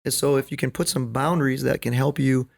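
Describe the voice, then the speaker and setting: A middle-aged man with a noticeably creaky voice and a medium pitch speaks as a podcast guest. He is earnest and emphatic, explaining things through everyday anecdotes in a conversational way. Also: steady tone, a little fast. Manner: earnest, emphatic, anecdotal, often checks in with "right?" and "you know". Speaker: podcast guest, basketball coach.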